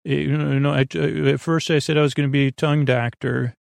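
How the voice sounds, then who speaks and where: Gravelly man rambling through a bedtime monologue. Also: on the high side, fairly even intonation, no room sound, studio quality. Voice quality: creaky, gravelly. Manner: halting, digressive, trails off and restarts sentences. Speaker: podcast host.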